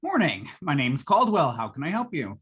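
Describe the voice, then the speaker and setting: A woman's voice: low voice, very animated, normal speed, slightly rough, full character voice. A woman playing a folksy, long-suffering salesman in a 1950s-style radio drama, giving colloquial, patient sales patter. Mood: affable.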